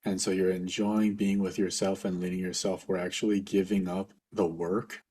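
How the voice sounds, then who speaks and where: A man leading a guided meditation for a group as facilitator, speaking informally in a gentle, reassuring, unhurried way. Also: low voice, little pitch movement, a little hiss or hum.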